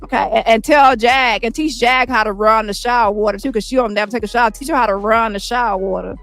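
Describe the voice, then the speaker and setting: A woman streamer ranting with heavy profanity, roasting critics with quick insults in a squeaky voice. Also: a bit bright, dry recording, soft background music. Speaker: commentary streamer. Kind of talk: ranting commentary.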